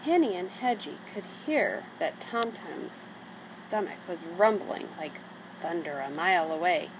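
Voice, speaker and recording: A woman reading a children's story aloud in an expressive narrating style. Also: fairly low pitch, unhurried. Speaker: storybook reader. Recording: clearly noisy background.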